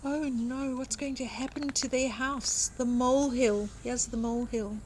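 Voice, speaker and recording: A woman's voice: sits high, fairly even intonation, a little slow, a little mellow, slight background noise, poor audio. An older woman reading a picture book aloud expressively to young children, with simple explanatory asides. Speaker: children's storytime reader and host.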